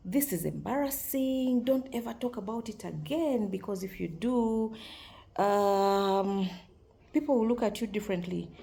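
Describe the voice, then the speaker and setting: A woman in her forties with a deep voice, talking frankly and cheerfully to camera in a casual vlog, laughing often. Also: vocal fry, warm tone. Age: 40s. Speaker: vlogger.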